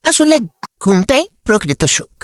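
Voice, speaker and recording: A woman voicing a comic, lazy trickster character, slowly drawn out and run through a funny voice-changer filter. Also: mid-range voice, very animated, no echo, clean studio sound.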